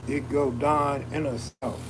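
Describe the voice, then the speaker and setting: Laid-back streamer guy over steady background hum. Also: mid-range voice, measured pace. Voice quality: soothing soulful voice. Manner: laid-back, slangy, streetwise. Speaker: live stream host. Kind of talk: casual live chat, reading viewer comments aloud.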